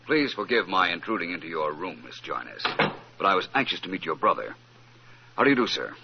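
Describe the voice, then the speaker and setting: A man playing a suave, self-assured gentleman detective with polished, formal speech in an old-time radio mystery. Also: sits low, big pitch swings, fairly quick, vocal fry, full character voice. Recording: muffled, noisy old radio recording.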